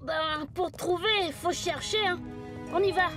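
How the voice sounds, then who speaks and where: Cartoon kid adventurer: very high voice, curious, spirited, music behind. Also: female voice, a little slow. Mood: casual, hesitant. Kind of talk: cartoon voice acting.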